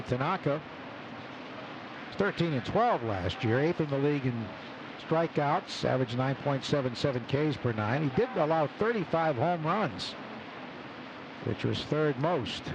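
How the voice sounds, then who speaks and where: A man doing live radio baseball play-by-play, calling the action in clipped phrases between pauses. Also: fairly high pitch, highs cut off. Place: open broadcast booth at outdoor ballpark. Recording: noisy outdoor ballpark broadcast. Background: loud stadium ambience.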